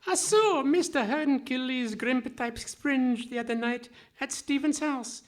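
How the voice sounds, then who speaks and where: A woman plays a naive little boy scout in a radio comedy, high-pitched and slow. The delivery is melodramatic and self-narrating, as if every stage direction must be announced. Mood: earnest, hapless. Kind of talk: parody of 1950s radio comedy acting. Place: live on stage in a hall.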